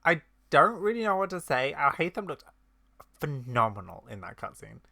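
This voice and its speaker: A woman's voice: deep voice, big pitch swings, normal speed, gravelly, sharp tone, no echo. A woman streaming a game with casual reactions, gushing and drawing out her words for emphasis.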